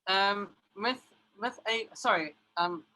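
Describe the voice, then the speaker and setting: A man's voice: high-pitched, takes it slowly, sharp tone, rough recording. A young man, a journalist on a video call, speaking in a flustered, apologetic way. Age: young adult. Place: indoor room, video call.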